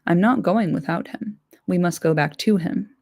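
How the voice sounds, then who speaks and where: A woman voicing an anxious, gentle young male hobbit, pleading softly and urgently. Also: lowish voice, normal speed, creaky.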